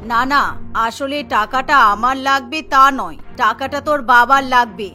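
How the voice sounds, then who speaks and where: Woman doing a cartoon mother-in-law, vain, spoiled, haughty, high bright voice. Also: a little slow, faint music behind. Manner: haughty, dismissive. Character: vain spoiled middle-aged mother-in-law who loves shopping.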